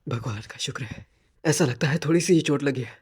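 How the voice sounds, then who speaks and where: A man playing a gentle, anxious, polite teenage schoolboy, thinking aloud hesitantly in an anime fan dub. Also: medium pitch, brisk, slightly rough. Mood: relieved, caring. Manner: hesitant, worried inner monologue.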